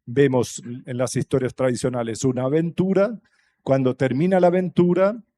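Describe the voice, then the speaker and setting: A man from Brazil whose first language is Portuguese, speaking Spanish with a Brazilian accent on a festival panel, thoughtful and halting, with many fillers and restarts. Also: medium pitch, mellow. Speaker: panel guest, writer. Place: stage at a public festival panel.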